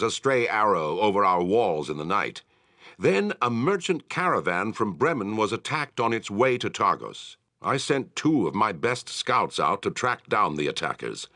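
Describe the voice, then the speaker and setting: An actor playing a gruff, weary town leader and military commander in a fantasy video game, speaking in a stern, formal, authoritative way. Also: male voice, on the low side, sharp tone, no echo, studio quality, exaggerated voice.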